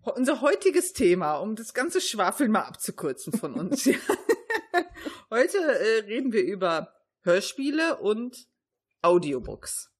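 A woman chats casually as a podcast co-host. Her medium-pitched voice has a husky, slightly rough edge. She speaks informally and pokes fun at her own rambling. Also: sing-song, unhurried. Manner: giggly, informal, self-deprecating. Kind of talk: casual chat.

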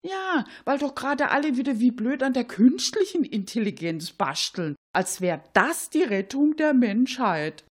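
A high-pitched Swabian cleaning lady, played by a woman who loves to chat. She is folksy and mock-naive, full of rhetorical questions and homespun indignation, in the style of a satirical radio sketch. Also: big pitch swings, unhurried, few pauses. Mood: opinionated, chatty. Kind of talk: comic satirical radio monologue.

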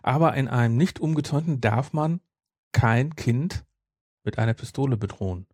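Casual podcast host, male, relaxed mellow delivery that rambles and self-corrects, very dry recording. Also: mid-range voice, moderate pace, no echo.

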